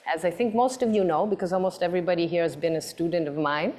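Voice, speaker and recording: Woman moderator, low voice, hesitant. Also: quick pace, darkish tone. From mixed background. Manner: hesitant, repeats words, many fillers. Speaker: moderator and design teacher. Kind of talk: moderated design talk.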